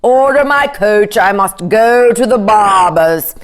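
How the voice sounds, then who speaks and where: A woman's voice: medium pitch, lively intonation, slow. A woman playing a pompous, irritable and self-satisfied storybook king, emphatic and blustering.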